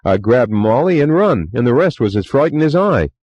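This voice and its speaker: A man voicing a young Cockney bellboy who talks in rough dialect and keeps saying "sir", in a muffled, lo-fi recording. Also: medium pitch, very animated, moderate pace, darkish tone, dry recording, voice pushed up. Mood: agitated. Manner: rough dialect speech, deferential, many "sir"s. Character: young Cockney male bellboy, nervous and chatty.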